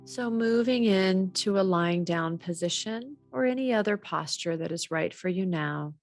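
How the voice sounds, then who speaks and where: A woman guiding a body scan meditation in a measured, gently instructional way. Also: mid-range voice, faint music behind. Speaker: meditation teacher.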